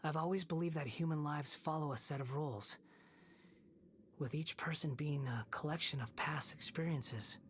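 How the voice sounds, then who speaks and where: A woman playing a sorrowful young male puppet wanderer in a video game, solemn, measured and earnest. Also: low voice, a little hiss or hum, lightly acted. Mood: solemn, resolute. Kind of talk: dramatic video game voice acting.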